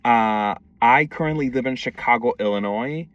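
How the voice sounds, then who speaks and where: A man's voice: mid-range voice, moderate pace, a bit bright. Young man from Mexico, distant mic. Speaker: wildlife conservation educator. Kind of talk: self-introduction video, casual monologue.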